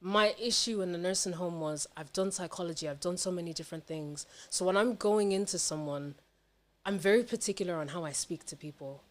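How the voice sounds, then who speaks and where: A young woman talking casually and candidly as a podcast guest, emphatic, slangy and sweary. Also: lowish voice. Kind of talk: casual personal chat.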